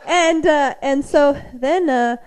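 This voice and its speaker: A woman's voice: sits high, slow pace, a little mellow. A woman chatting casually about her mission work, laughing often as she talks. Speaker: missionary worship leader. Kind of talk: casual chat.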